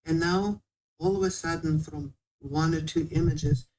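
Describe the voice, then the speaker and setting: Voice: mid-range voice, fairly even intonation, unhurried, a little mellow, rough recording. A guest patiently explaining how to learn a language in a casual interview, with small non-native grammar slips.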